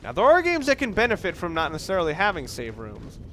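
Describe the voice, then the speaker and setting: A man's voice: sits high, wildly swooping pitch, quick pace, sharp tone, slight background noise. A man giving casual, analytical video game commentary, rambling and correcting himself as he goes. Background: video game sounds.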